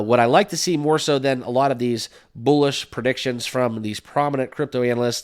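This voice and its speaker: Male host with a slight cold, mid-pitched and smooth, upbeat and chatty, full of "right?" and "uh". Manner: upbeat, full of fillers, keeps asking "right?". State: has a cold, sniffling. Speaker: crypto YouTube host. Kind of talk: casual talk to camera.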